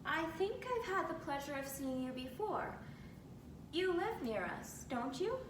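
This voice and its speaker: A woman's voice: very animated, measured pace. A woman voicing a shy, courteous, pleasant teenage boy in a dramatic reading of a classic novel. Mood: pleasant, bashful. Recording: roomy, distant mic with rumbling noise.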